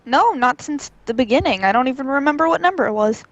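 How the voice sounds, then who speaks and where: A woman guest on a gaming podcast, shy, with deadpan quips. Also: sits high, fast, no echo, dull audio.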